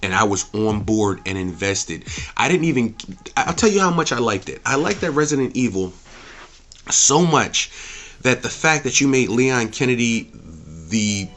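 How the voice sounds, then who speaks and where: A man's voice: medium pitch, expressive, moderate pace, a bit bright, slight background noise. A man giving an opinionated, off-the-cuff review as a host, speaking emphatically, repeating himself and swearing freely. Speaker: movie reviewer and channel host.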